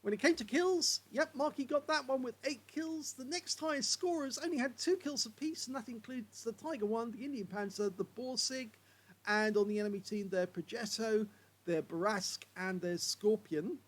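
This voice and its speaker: A hoarse man commentating on game replays in a chatty way, filling pauses with uh and um. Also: extremely high pitch.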